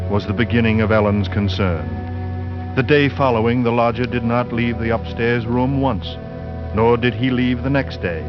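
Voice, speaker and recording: A man narrating a suspense tale as a radio actor, grave and deliberate in a theatrical 1940s style. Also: medium pitch, close to an everyday voice. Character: grave, ominous male storyteller of a horror tale. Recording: muffled 1940s radio recording with music.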